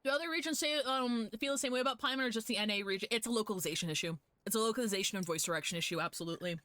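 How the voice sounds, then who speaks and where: A woman's voice: fairly high pitch, very fast, fairly bright tone, no echo. Blunt woman streamer, close dry sound. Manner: blunt, sweary, opinionated. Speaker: game streamer. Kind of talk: casual live stream commentary.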